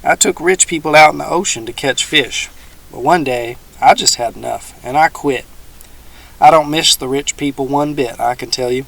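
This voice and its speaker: A man voicing a gruff, menacing old male swamp hermit with a folksy backwoods drawl. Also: a little high, normal speed, fairly bright tone.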